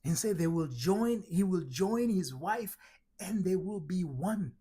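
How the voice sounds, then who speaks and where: A middle-aged man from Tanzania giving a spiritual teaching talk, slow and emphatic, asking rhetorical questions. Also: high-pitched, lively intonation, mellow, breathy edge. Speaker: identity transformation coach.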